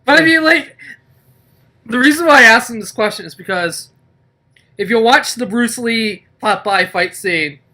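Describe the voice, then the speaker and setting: A man with a bright, punchy voice co-hosts a casual film chat. His delivery is jokey and giggly, in loose conversational banter. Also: very high voice, lively intonation, moderate pace. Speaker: podcast co-host. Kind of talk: casual movie-review banter.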